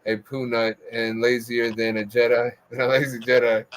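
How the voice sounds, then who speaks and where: A man's voice: mid-range voice, flat delivery, normal speed. A man bantering casually and teasingly in a group chat.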